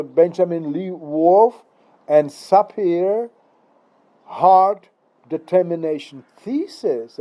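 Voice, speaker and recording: A man's voice: fairly high pitch, dark tone. A man in his sixties, a native Czech speaker, giving a rambling philosophical monologue with many fillers and restarts. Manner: halting, many fillers and restarts, tag questions.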